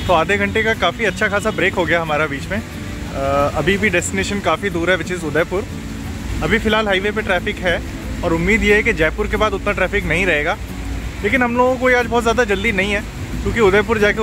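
A middle-aged man with an Indian accent, calmly narrating a family road trip as a vlogger. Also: high voice, brisk, background noise. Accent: Indian English. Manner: relaxed, matter-of-fact, mixes Hindi and English. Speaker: travel vlogger. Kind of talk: casual travel narration. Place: roadside stop outdoors and in a car. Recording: music playing under the voice.

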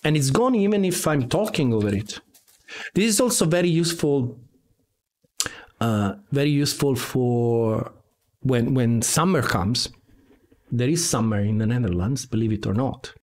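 Male streamer, forties, mid pitch, casual and halting delivery at a desk mic. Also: animated, darkish tone. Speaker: live streamer, game developer. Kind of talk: casual live stream chat. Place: home room with guitars on the wall. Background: computer keyboard typing at times.

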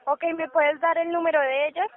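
Una mujer teleoperadora que hace una venta por teléfono con un discurso paciente y algo titubeante. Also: female voice, very high voice, steady tone, a little fast. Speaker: telemarketer selling electricity offers. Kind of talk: sales phone call. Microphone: telephone. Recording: muffled, tinny phone line.

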